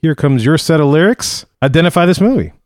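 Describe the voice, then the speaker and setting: A man hosts a casual podcast quiz. His voice sits a little high and swoops all over. The sound is dry and close. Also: fairly quick, no echo. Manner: reads lyrics aloud in a low flat tone, then jumps up excited. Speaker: game show host. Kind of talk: casual podcast game show.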